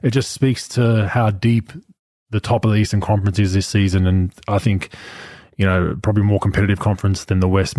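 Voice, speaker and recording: A man's voice: on the low side, no room sound. Dry-sounding chatty man in his thirties. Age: 30s. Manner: relaxed, chatty, frequent restarts. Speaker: podcast host. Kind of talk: casual sports chat.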